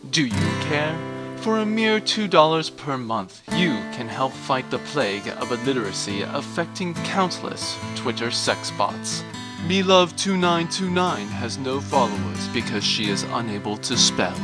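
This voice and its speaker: Guy doing a solemn charity ad announcer, pleading and earnest, parody psa, loud guitar music behind. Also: medium pitch, close to an everyday voice. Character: earnest male announcer in a mock charity appeal. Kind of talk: scripted parody public service announcement. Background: plucked guitar music.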